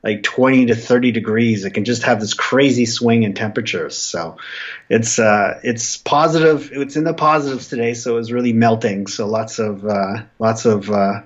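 Stuffy man, 40s, from western Canada, relaxed interview guest, middle pitch, muffled lo-fi audio. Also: moderate pace. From Canada (Alberta). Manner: relaxed, rambling with fillers and restarts. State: stuffy from a flu. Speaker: guest, comic artist. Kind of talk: casual interview chat.

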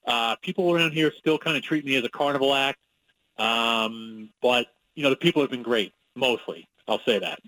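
A man's voice: mid-range voice, moderate pace, bright, forceful tone, no echo, low-quality recording. A man from Long Island with a New York accent, a sportswriter guest talking casually and analytically on a radio interview. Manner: conversational, with many fillers and restarts, analytical.

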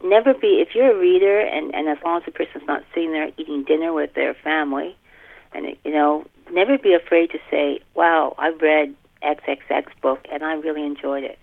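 An older woman speaks over a narrow, phone-like line with a lowish, creaky voice. She chats as an interview guest, animated and self-deprecating, repeating herself for emphasis. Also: fairly quick. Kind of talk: casual podcast conversation. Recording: thin band-limited phone line.

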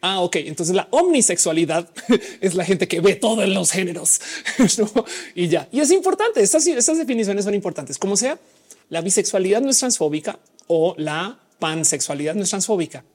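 Woman livestream host, mixed Mexican-Colombian accent, husky voice with a lively swinging pitch, slangy and talkative. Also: normal speed. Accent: mixed Mexican and Colombian Spanish. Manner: casual, chatty, explains at length with slang. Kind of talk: live chat reading audience comments.

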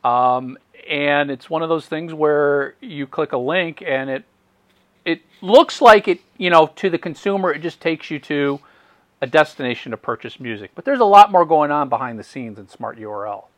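A man hosts a podcast in a fairly high voice, chatty and friendly, with ums and restarts. The recording is dry and close, with no room sound. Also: lively intonation, moderate pace, no room sound. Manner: friendly, conversational, with ums and repeats. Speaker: podcast host. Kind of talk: casual interview talk.

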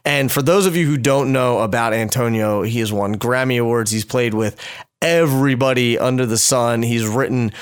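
A man's voice: mid-range voice, fairly even intonation, moderate pace, clear, resonant tone. A man hosting a podcast, talking with an eager, effusive enthusiasm. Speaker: podcast host. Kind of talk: casual interview conversation.

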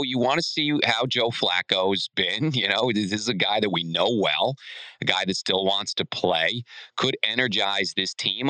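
A man's voice: lowish voice, bright, forceful tone, no room sound, low-quality recording. A man co-hosting a sports podcast in casual discussion, often restarting his sentences midway.

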